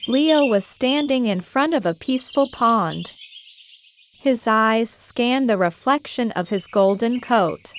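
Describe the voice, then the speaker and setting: High-voiced woman narrating a children's story. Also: slight background noise. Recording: faint insects and birdsong behind. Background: crickets, birds, nature ambience.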